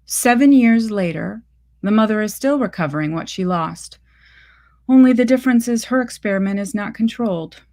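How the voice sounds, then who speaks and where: A woman reading a literary short story aloud in measured, deliberate phrases. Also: on the low side.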